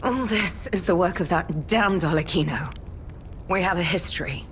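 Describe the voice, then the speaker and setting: Game cutscene role: actress as battle-worn woman mentor, guilt-ridden yet resolute, measured and formal, lowish pitch. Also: fairly bright tone, a little hiss or hum, natural voice. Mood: bitter resolve. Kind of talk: dramatic video game cutscene acting.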